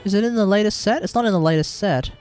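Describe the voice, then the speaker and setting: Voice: mid-range voice, fast, a little mellow. Aussie streamer, casual thinking-aloud commentary, faint game music behind. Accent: Australian. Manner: chatty, muttering asides, reads text aloud.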